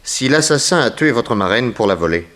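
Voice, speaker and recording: An actor playing a polite, probing, authoritative private detective in an early 1960s French radio mystery. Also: male voice, mid-range voice, animated, brisk, fairly bright tone, close to an everyday voice. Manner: inquisitive, courteous, theatrical.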